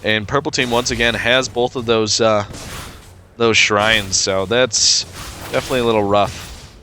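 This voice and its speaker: A man with a cold, sounding stuffed up, giving relaxed play-by-play commentary over a video game match with frequent fillers. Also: fairly low pitch, normal speed, a little hiss or hum. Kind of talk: casual gameplay commentary.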